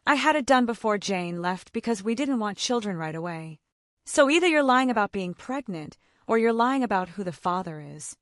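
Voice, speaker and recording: A woman's voice: medium pitch, lively intonation, moderate pace, no echo, studio quality, lightly acted. A woman storyteller voicing a cold, bitter husband with curt, accusing words.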